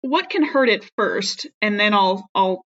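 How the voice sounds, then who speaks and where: A woman chatting casually as a player in a tabletop game, playful and quick to laugh. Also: medium pitch, lively intonation, fairly bright tone, dull audio.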